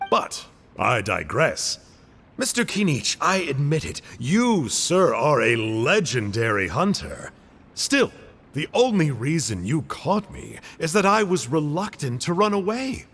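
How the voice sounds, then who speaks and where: Chirpy villain agent, breathy voice, lively pitch, long reverb tail. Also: male voice, a little slow, heavily acted. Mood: cheeky. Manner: chirpy, cheerfully teasing, mock-polite. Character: cheerful male Abyss Order agent, chirpy and cheeky.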